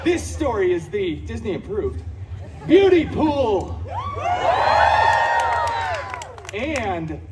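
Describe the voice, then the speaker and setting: An actor playing a wisecracking, campy superhero antihero telling a story on a theme park stage, theatrical and hammy with sarcastic asides. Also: male voice, high voice, very animated, slow, recorded from a distance, heavy background noise, heavily acted. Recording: live outdoor show, amplified over crowd and music. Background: audience crowd and music.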